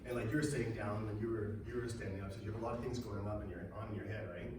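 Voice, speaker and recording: A man from Denmark with a hoarse, raspy voice, giving an energetic motivational talk to an audience and asking them questions. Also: on the low side, very fast. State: hoarse from being sick. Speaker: speaker at a marketing event. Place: large echoey event room. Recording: echoey room, mic far away, loud noise.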